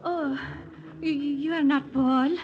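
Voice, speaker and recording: An actress playing a proud, anguished young French aristocrat with a French accent in a 1940s radio drama, imperious and emotional. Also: female voice, high-pitched, steady tone, slow pace, muffled recording. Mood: anxious, demanding. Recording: noisy old radio recording.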